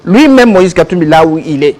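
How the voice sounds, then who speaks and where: Insistent high-voiced Congolese man debating. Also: expressive, brisk, darkish tone. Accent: Congolese French. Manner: insistent, argumentative, emphatic, repeating himself. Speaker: political guest, youth league president. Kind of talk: heated televised political debate. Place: television studio.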